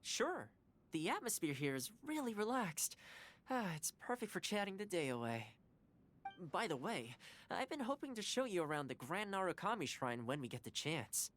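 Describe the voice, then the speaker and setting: A woman's voice: fairly low pitch, sing-song, moderate pace. A woman voicing a cheerful, easygoing young companion in a video game, chatty, friendly and considerate. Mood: relaxed, advising.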